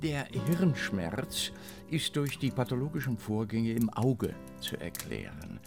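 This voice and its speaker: An actor playing a deferential yet self-important learned doctor in a German radio drama, speaking formally and clinically. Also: male voice, mid-range voice, very animated, takes it slowly, vocal fry, full character voice. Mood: grave, clinical. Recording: plucked guitar music behind.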